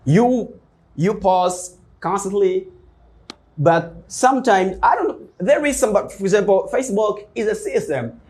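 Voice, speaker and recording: A man from Africa whose first language is Portuguese answers questions in English. His voice sits a little high, and he rambles with lots of fillers and restarts. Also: animated, unhurried, a little mellow, low-quality recording. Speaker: guest English teacher. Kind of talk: casual interview answers.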